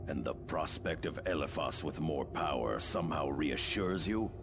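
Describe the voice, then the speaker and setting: Very deep, gravelly soldier. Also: male voice, normal speed. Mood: sardonic. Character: wry, battle-hardened male space marine. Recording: voice under loud music.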